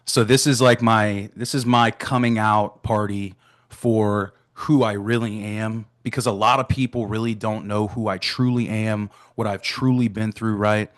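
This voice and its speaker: A man in his thirties from Florida talks openly about his past as a podcast guest on a video call. He often checks in with "right?" and "man", in a slightly low voice. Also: fairly even intonation, unhurried. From Florida, USA. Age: 30s. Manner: open, leans on "right?" and "man". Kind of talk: candid personal storytelling. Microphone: remote video call.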